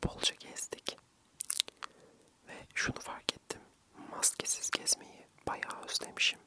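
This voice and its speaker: A young man whispering gently and soothingly in a breathy voice, narrating an ASMR vlog close to the mic. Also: a little slow. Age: young adult. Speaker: ASMR vlogger.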